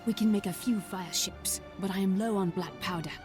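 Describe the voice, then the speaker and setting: A woman's voice: medium pitch, moderate pace, natural voice. An actress playing a brash, practical female pirate in a video game cutscene, with music behind her. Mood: frank, urgent.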